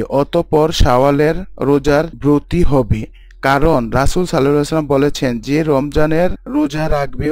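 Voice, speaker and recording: A man as a pious, higher-voiced instructive father. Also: a little mellow, dry recording. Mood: approving, instructive. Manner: didactic, measured explaining. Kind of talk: scripted Islamic story dialogue, explaining religious teachings.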